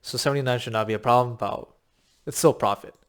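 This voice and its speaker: Slightly raspy man, rambling sales pitch. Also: fast talker, a bit bright. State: short of breath from long talking. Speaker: crypto game coach. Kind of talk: casual talking-head explainer.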